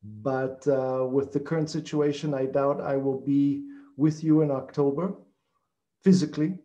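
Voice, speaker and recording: A man in his sixties from Chicago with a slight Austrian accent, chatting in a relaxed, unhurried way on a video call. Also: mid-range voice. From Chicago, United States. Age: 60s. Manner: relaxed, unhurried, with frequent ums. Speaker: alumnus guest. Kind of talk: casual video call chat. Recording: dull lo-fi video call audio.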